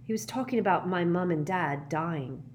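A woman voicing a quiet, determined teenage boy wizard in a storybook, steady and insistent. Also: fairly low pitch, moderate pace, natural voice. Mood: quiet, matter-of-fact.

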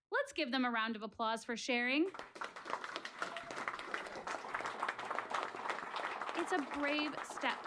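An actress playing a candid, wry, exasperated woman presenting life's questions in a theatrical one-woman audio play, addressing her audience emphatically with dramatic pauses. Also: high voice, noisy background. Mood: bright, encouraging.

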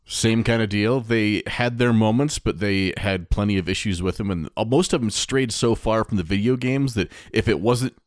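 Male podcast co-host, fairly low pitch, conversational delivery, close dry sound without room echo. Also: normal speed, hardly any pauses. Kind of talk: casual podcast discussion.

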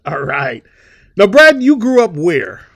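A man's voice: high-pitched, takes it slowly, vocal fry. A man hosting a podcast, reading a scripted introduction and then chatting casually with a guest, laughing easily. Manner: lively, animated delivery with laughs. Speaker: podcast host.

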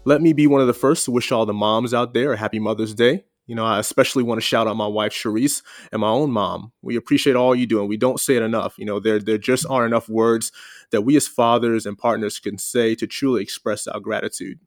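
A man's voice: medium pitch, dry recording, studio quality. A man hosting a podcast, opening with a scripted intro and welcoming a guest in an upbeat way. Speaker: podcast host. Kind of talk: scripted intro then casual interview.

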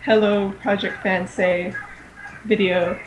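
A woman's voice: mid-range voice, little pitch movement, slow pace, a little hiss or hum, rough recording. A young woman chatting on a podcast in a slangy, informal and enthusiastic way, peppering her talk with filler words. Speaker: podcast panelist. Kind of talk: casual slangy fan chat.

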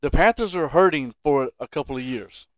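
An American man with a high, smooth voice hosts a casual sports podcast. He argues emphatically and leans on rhetorical questions to press his case. The sound is dry and close. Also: expressive, normal speed, no echo. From Carolina, United States.

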